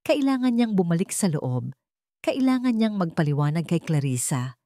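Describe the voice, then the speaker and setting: A woman's voice: fairly low pitch, big pitch swings, normal speed, warm tone, dry recording, clean studio sound. A woman narrating a scripted dramatic story as a storyteller.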